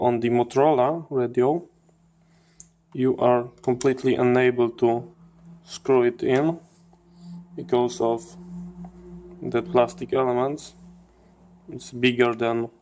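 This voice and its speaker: Slow, low-key man explaining hardware. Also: fairly even intonation, a little mellow, slight background noise. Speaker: host of a radio electronics channel. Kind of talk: hands-on teardown commentary.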